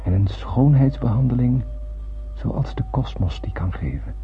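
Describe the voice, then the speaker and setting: An actor playing an astronaut who narrates a solemn space tale in poetic, measured radio play style. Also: male voice, mid-range voice, expressive, soft background music, lightly acted. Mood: reflective. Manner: poetic, measured literary storytelling. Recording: muffled phone-like old radio recording, noisy.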